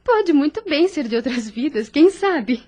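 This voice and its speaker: A woman playing a gentle, devout young nurse who speaks with tender earnestness in an audio drama. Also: high voice, animated, measured pace. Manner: tender, explanatory.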